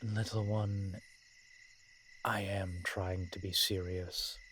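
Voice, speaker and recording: A man playing a brooding, protective vampire in an audio roleplay, speaking in formal, measured phrases with dramatic pauses. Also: deep voice, level tone, a bit bright, a little hiss or hum. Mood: tender, sorrowful. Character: brooding, protective male vampire, old-fashioned and frustrated. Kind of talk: scripted audio roleplay acting addressed to the listener.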